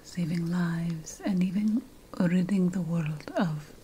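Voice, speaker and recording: A woman voicing a sly, conspiratorial potion-making witch in an ASMR fantasy roleplay, whispering slowly and deliberately with dramatic pauses. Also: on the low side, fairly even intonation, dark tone, a little hiss or hum. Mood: hushed, confiding.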